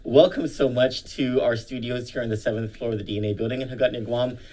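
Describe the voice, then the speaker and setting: A man's voice: medium pitch, fairly even intonation, fast, a little mellow, low-quality recording. A man hosting a casual studio interview, chatty and laughing easily. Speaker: talk show host.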